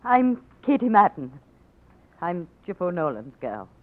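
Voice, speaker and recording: A woman's voice: fairly low pitch, big pitch swings, a little slow. A desperate pleading Irish girl. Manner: pleading, tearful. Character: desperate young Irish woman pleading for her man. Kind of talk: 1940s radio acting. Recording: tinny old radio broadcast.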